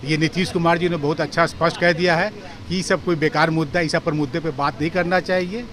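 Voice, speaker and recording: A man's voice: on the high side, steady tone, fast talker, a bit bright. A man with a Bihari accent giving his opinion as a local resident in a street interview. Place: outdoor street. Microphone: handheld reporter microphone. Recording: noisy outdoor street with traffic.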